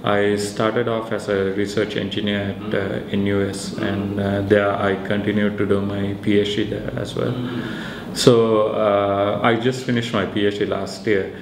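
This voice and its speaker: A man with a Sri Lankan accent explains his work in a hesitant, informal way. His voice is on the low side with very flat intonation, recorded in an echoey room. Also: a little slow. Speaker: researcher and maker presenting a project. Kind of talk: informal explanatory interview talk. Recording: echoey room with faint background noise.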